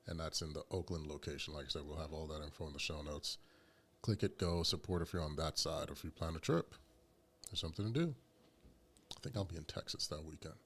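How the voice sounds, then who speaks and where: A man's voice: very deep voice, fast, breathy edge. A man co-hosting a barbecue podcast, laid-back and joking in casual chat. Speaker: podcast co-host.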